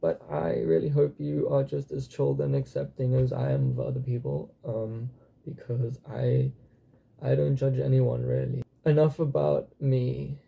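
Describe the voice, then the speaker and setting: Gravelly-voiced young South African man. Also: medium pitch, flat delivery, a little slow, mellow, rough recording. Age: 20s. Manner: hesitant, many fillers and restarts, occasional swearing. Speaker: channel host. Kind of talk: casual personal vlog.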